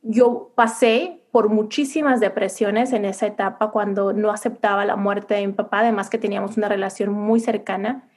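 A woman's voice: medium pitch, steady tone, normal speed, hardly any pauses. A middle-aged woman who is a psychologist and coach, telling her story in a reflective, earnest way during an interview. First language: Spanish. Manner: earnest and reflective, with frequent restarts. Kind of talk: conversational interview, personal storytelling.

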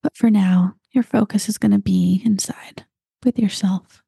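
A woman guiding a meditation, talking slowly and calmly and at times dropping into breathy whispers. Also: mid-range voice, no room sound, clean studio sound. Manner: slow, soft and calm, drifting into breathy whispers. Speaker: meditation guide. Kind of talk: guided meditation.

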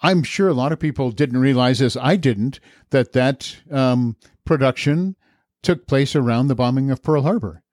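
A man's voice: medium pitch, normal speed, darkish tone, no room sound, studio quality. An American man hosting a podcast in a conversational interview style. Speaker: podcast host.

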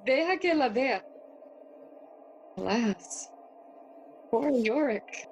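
An actress playing young Hamlet, a witty, brooding and curious noble, in a theatrical bilingual Spanish-English Shakespeare audio play. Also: female voice, a little high, animated, very slow, faint music behind, heavily acted, poor audio. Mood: wistful, grieving. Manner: musing, questioning, theatrical.

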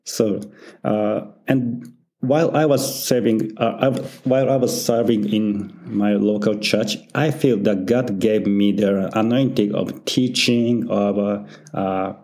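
A middle-aged Japanese man with a Japanese accent, a pastor answering interview questions in halting English full of pauses and small laughs. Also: lowish voice, fairly even intonation, a little mellow. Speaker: church founder and senior pastor.

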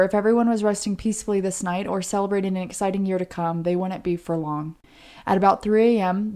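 A woman's voice: medium pitch, a little mellow. A woman co-hosting a podcast, telling a true-crime story in a conversational way. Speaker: podcast co-host.

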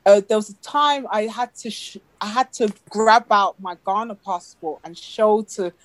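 A woman with a British accent from England, a lively guest telling stories in a casual interview and acting out the voices of the people she quotes. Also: mid-range voice, moderate pace. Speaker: guest, animator.

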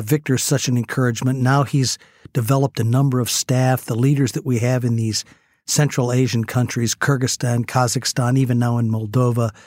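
Earnest man, measured speech. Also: medium pitch, a little mellow. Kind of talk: broadcast interview.

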